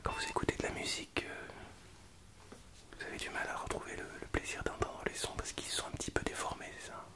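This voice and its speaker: A man playing a grumpy yet soothing specialist doctor in an ASMR roleplay, murmuring in an unhurried, slightly pompous way. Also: natural voice. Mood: clinical, murmuring. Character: grumpy, soothing male specialist doctor called out on Christmas Eve. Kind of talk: French ASMR roleplay, whispered acting.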